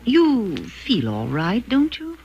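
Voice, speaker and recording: An actress playing a skeptical, blunt and protective housekeeper in a 1940s radio thriller. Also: female voice, fairly high pitch, huge pitch swings, slow, vocal fry, darkish tone, breathy edge, highs cut off, heavily acted. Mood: wary, concerned. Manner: blunt, wry, suspicious. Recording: noisy old 1940s radio recording.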